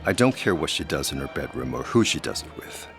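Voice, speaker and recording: An actor playing a suave, calculating aristocratic gentleman in a gothic audio drama, speaking in courtly, formal phrases. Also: very deep voice, very animated, a little fast, soft background music, heavily acted. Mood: cool, pragmatic.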